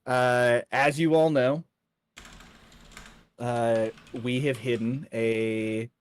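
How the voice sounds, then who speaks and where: A man voicing a seasoned, folksy mentor in a tabletop game, talking in an encouraging, colloquial, halting way. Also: mid-range voice, steady tone, a little hiss or hum. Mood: briefing. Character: seasoned folksy male mentor sending young adventurers out.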